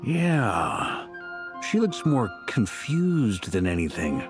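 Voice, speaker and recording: Cheerful young game character, lively intonation, easygoing, upbeat, music behind. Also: male voice, on the low side, takes it slowly, exaggerated voice. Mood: relaxed, amused. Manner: upbeat, breezy, animated. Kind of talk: video game voice acting. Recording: music under the voice.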